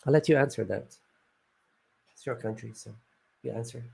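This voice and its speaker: A man's voice: medium pitch, moderate pace, gravelly, dark tone, husky. A man hosting a casual vlog chat, explaining things and prompting his co-host with questions.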